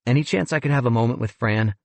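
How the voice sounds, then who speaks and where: A man's voice: mid-range voice, fast talker, vocal fry, no echo, pitched above the performer's own voice, natural voice. A man voicing an earnest, frustrated male paleontologist who talks politely and pleads. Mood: earnest pleading.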